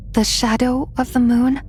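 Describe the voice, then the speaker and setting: An actress playing a lonely, forgetful, mysterious maiden in a video game, halting and pensive. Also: female voice, fairly high pitch. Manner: halting, pensive, softly formal. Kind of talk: video game character acting. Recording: music behind the voice.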